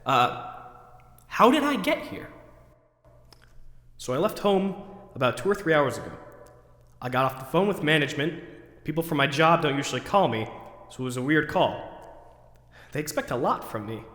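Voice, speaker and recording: A man's voice: on the high side, very animated, moderate pace, long pauses, a bit bright. A man acting out the theatrical internal monologue of an overwrought, neurotic man who questions himself, recorded in an echoey hall. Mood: puzzled, fretful. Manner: ranting, then talking himself down. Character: overwrought, neurotic man agonizing over forgotten groceries, self-questioning. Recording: echoey hall with long reverb.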